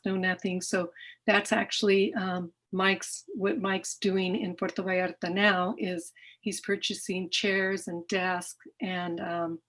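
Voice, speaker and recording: Middle-aged woman speaker, earnest presenter, mid-pitched voice, talks with frequent fillers and restarts. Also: fairly bright tone. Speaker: nonprofit founder presenting to a club. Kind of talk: presentation talk with slides.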